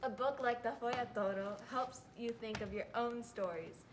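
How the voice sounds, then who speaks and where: A woman's voice: a little high, steady tone, moderate pace, lightly acted. A young woman, a student narrator reciting scripted readers theatre lines. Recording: faint background noise.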